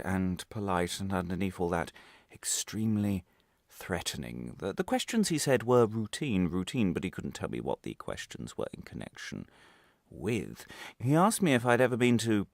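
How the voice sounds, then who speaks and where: A man performing a radio monologue as a defensive, wry prisoner recounting his arrest, halting and correcting himself with sudden emphasis. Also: sits low, gravelly, no echo, studio quality, full character voice. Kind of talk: dramatic radio monologue, confessional first-person narration.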